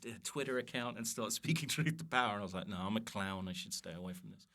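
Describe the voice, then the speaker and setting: Guy with a lowish voice, comedian on a podcast, chatty animated storytelling, pokes fun at himself. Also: quick pace. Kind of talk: casual comic storytelling chat.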